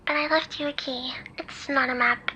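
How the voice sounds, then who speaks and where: A woman voicing a devoted, teasing female AI hacker in an intimate ASMR roleplay, soft and tender. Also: high voice, steady tone, normal speed, slight background noise, poor audio. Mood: hushed, earnest.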